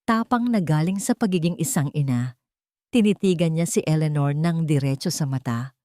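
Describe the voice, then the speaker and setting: A woman's voice: sits low, normal speed, dark tone, no echo, studio quality. A woman storyteller delivering scripted, dramatic narration for a romance audio drama.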